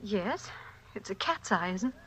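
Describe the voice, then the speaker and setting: A woman's voice: medium pitch, sing-song, measured pace, sharp tone, breathy edge, exaggerated voice. An actress playing an anxious, earnest wife and mother pleading as peacemaker in a 1940s radio suspense drama. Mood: worried. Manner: earnest, worried. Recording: faintly noisy old radio recording.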